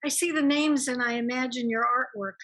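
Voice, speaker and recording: Woman, high pitch, bright forceful tone, casual questions, video call. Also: brisk. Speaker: meeting participant asking questions. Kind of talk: casual group chat. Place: online video meeting.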